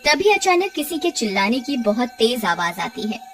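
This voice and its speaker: A woman's voice: on the high side, sing-song, moderate pace, fairly bright tone, faint music behind. A woman narrating a scripted cartoon horror story.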